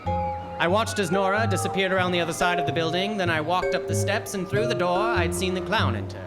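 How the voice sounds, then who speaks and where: An actor playing a wry, hardboiled private detective in an old-time radio play, with clipped noir delivery. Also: male voice, high voice, brisk, over loud music, natural voice. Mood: narrating. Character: wry, hardboiled male private detective, narrating his case. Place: live theatre stage.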